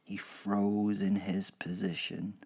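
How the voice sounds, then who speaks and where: A man playing a gleeful, boastful werewolf in a horror comedy audio drama, telling of the hunt in a chatty, digressive way with husky drops toward a whisper. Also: lowish voice, flat delivery, slow, a little mellow, heavily acted. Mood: menacing, relishing. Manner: chatty, digressive, self-interrupting, darkly jokey. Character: gleeful, boastful male werewolf killer recounting his hunt.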